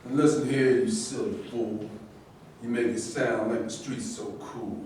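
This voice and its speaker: A man's voice: mid-range voice, fairly even intonation, unhurried, a little mellow. Man acting onstage, distant, very echoey. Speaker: actor in a staged play reading. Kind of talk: staged script reading. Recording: echoey room, distant mic, faint noise.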